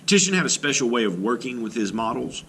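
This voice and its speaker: Man, art teacher, read-aloud lesson, lively pitch, bright tone, faint background hiss. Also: quick pace. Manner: lively, emphatic read-aloud delivery with asides.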